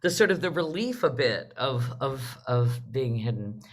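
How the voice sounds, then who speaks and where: A woman's voice: rumbling low, animated, unhurried. A woman hosting a live theatre discussion, talking casually as she moderates the panel.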